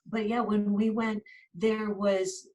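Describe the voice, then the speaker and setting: Woman author from california, chatty, sounds a little far from the mic, middle-pitched voice on a video call. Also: flat delivery, slow, darkish tone. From California, USA. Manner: chatty, with fillers and restarts. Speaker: author and journalist. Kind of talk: book reading, then interview answers.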